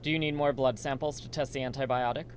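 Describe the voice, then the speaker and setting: A man playing a steady, reassuring survivor and research partner in a horror radio drama, speaking earnestly. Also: mid-range voice, quick pace, a little hiss or hum, lightly acted. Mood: businesslike.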